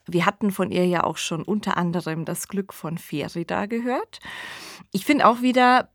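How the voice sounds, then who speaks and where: A woman presents and discusses on a podcast. Her voice is of middle pitch and she talks very slowly and reflectively. The sound is dry, with no room. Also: dry recording. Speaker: podcast host.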